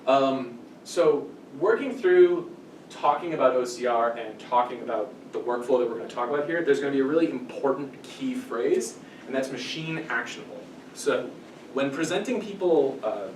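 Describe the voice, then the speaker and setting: An echoey, distant recording of a man presenting an informal workshop in a small meeting room. He is in his thirties and speaks at a middling pitch in a conversational, explanatory style. Noticeable noise sits behind his voice. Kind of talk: informal introductory lecture. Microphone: distant camera microphone. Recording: echoey room from afar, noisy.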